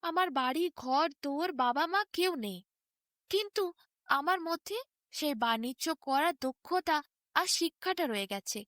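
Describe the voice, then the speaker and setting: A woman's voice: high-pitched, animated, measured pace, a bit bright, dry recording, clean studio sound. A woman voicing a mild, lonely male merchant who has lost his memory, hesitant and bewildered, in a fairy tale. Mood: hesitant, wistful.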